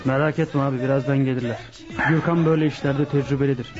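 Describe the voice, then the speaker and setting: A man's voice: medium pitch, fairly even intonation, quick pace, close to an everyday voice. An actor playing an eager, loyal henchman answering to his gang boss in a Turkish radio drama. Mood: reassuring. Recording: muffled radio recording over music.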